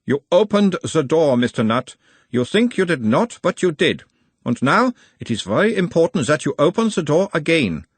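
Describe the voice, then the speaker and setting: A man's voice: a little high, big pitch swings, normal speed, husky, no room sound, pitched above the performer's own voice. A man voicing an insistent analyst persona in an audiobook, formal and clinical. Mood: coaxing, firm. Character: insistent, probing male analyst persona of a hypnotised creature.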